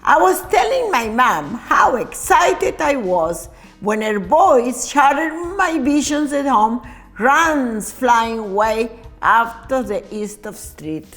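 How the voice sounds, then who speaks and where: Expressive high-voiced woman reading a children's story. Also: slow, faint music behind. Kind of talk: read-aloud children's story narration.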